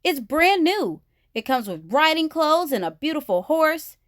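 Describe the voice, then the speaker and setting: A woman's voice: high voice, big pitch swings, unhurried, dry recording, studio quality, pitched above the performer's own voice. Woman playing an eager little girl bear cub. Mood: envious.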